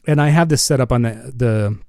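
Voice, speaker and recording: A man's voice: mid-range voice, brisk, vocal fry, darkish tone, no room sound. A man hosting a casual solo podcast from his home studio, rambling with many fillers. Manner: rambling, full of "you know" fillers. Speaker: podcast host and music producer. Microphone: studio condenser microphone.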